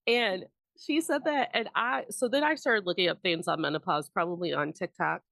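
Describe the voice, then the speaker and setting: A woman's voice: on the low side, moderate pace, creaky, a bit bright, no echo. A woman from Indiana hosting a podcast, chatting casually and laughing often at her own stories. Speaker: podcast host. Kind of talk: casual chat.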